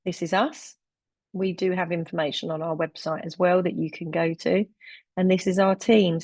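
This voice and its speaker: A woman's voice: fairly low pitch, darkish tone, no echo. A woman from London with a London accent, presenting a webinar as deputy director, earnest, with frequent ums and uhs. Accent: London English.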